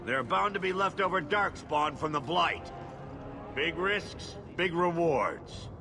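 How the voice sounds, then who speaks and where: Blustering, high-pitched dwarf boss. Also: male voice, a little slow, background noise. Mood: bombastic, eager. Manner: blustering, barking orders. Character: gruff, impatient dwarf expedition leader, bombastic and crude. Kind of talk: video game cutscene acting. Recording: loud music behind dialogue. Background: loud music.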